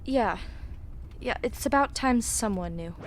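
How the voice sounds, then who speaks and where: A woman's voice: fairly high pitch, animated, measured pace, background noise. A young woman playing a chatty, self-assured teenage girl in an audio drama, with a perky, teasing manner. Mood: confiding. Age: young adult.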